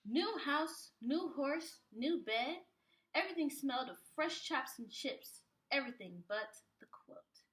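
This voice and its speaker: Bright-voiced woman reading a children's storybook. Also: sits high, lively intonation, a little slow, poor audio. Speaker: preschool teacher reading aloud. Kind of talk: expressive children's storybook read-aloud.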